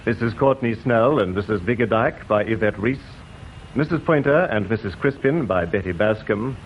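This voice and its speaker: A man with an English accent reading formal credits as a radio announcer. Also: lowish voice. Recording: dull, hissy old radio recording.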